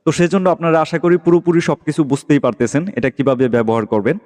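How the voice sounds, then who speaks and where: A man's voice: fairly high pitch, steady tone, fast talker, darkish tone. A man giving a casual tutorial voice-over as host, explaining step by step and often checking that listeners follow. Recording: long reverberant echo.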